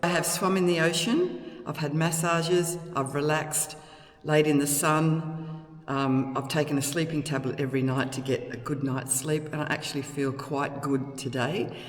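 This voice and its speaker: A woman's voice: deep voice, steady tone, moderate pace. A middle-aged woman with an Australian accent chats candidly and casually in a rambling, conversational vlog style. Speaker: motorcycle vlogger. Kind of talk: casual vlog chat to camera. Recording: loud wind, engine and road noise.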